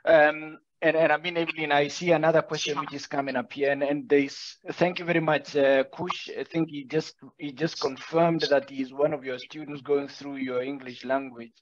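A man's voice: a little high, little pitch movement, normal speed, hardly any pauses. Kenyan man hosting a video call. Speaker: host. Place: indoor room. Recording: dull, dry video call audio.

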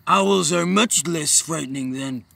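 Creaky old campfire storyteller. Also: male voice, fairly high pitch, measured pace, pushed and bright, exaggerated voice. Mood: ominous. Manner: dramatic, ominous delivery. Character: grizzled old campfire storyteller, ominous and dramatic. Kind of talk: spooky campfire tale in comedy sketch.

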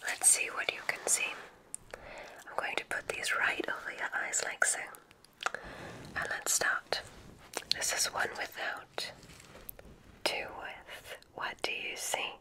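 A woman whispering an ASMR roleplay as a kindly witch examiner, giving gentle, reassuring instructions in a soft, unhurried way. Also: low-quality recording. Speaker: ASMR performer in a witch medical exam roleplay.

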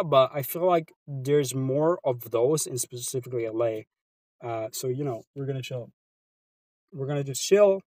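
A man's voice: on the high side, darkish tone, dry recording. Laid-back Swedish guy streaming from his car. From Sweden. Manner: laid-back, slangy, trails off into mumbles. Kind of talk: casual live stream chat reading viewer comments. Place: inside a car.

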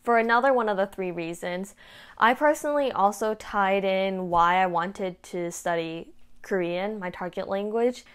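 A woman's voice: medium pitch, moderate pace, clean tone. Teenage girl giving casual advice. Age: late teens, high school student. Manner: informal, frank, peer-to-peer. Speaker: vlogger giving application tips. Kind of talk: casual advice talk to camera. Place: small indoor room at home. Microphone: clip-on lapel mic.

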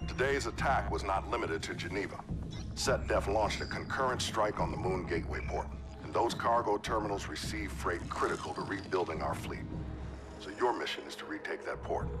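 Very deep, grave fleet admiral male actor. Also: lightly acted. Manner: measured, formal. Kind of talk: cinematic military game acting.

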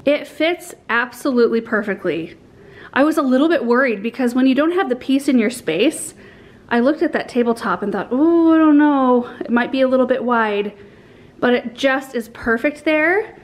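A woman's voice: high-pitched, normal speed. A woman in her thirties chatting to her handheld camera at home, enthusiastically explaining her garden cuttings and arrangements as she works. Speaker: gardening vlogger. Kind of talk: casual chat to camera. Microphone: handheld camera at arm's length.

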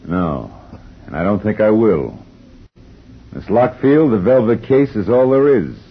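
An actor playing a hard-boiled private detective who narrates with dry wisecracks, in a 1950 radio drama. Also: male voice, fairly low pitch, very animated, a little slow, creaky, breathy edge, heavily acted. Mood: wry. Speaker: narrator and lead. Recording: dull old radio recording with music.

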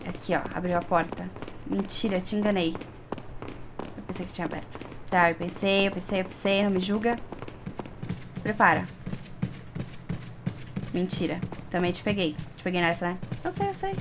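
A woman's voice: lowish voice, expressive, normal speed, long pauses, noisy background. A young adult woman with a Brazilian accent giving casual, playful gameplay commentary, chatting to herself and to the game. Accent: Brazilian Portuguese. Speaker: gaming streamer. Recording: loud game sound behind voice. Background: loud game ambience.

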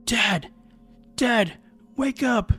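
A man's voice: very high-pitched, very slow, pushed and bright, soft background music, pitched above the performer's own voice, exaggerated voice. A man voicing a frightened young son in a folktale, pleading in a panicky, stammering way. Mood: panicked, scared.

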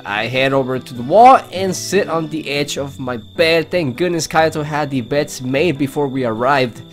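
A man's voice: a little high, moderate pace. A man hosting a let's play, reading game text aloud with casual commentary. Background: game music.

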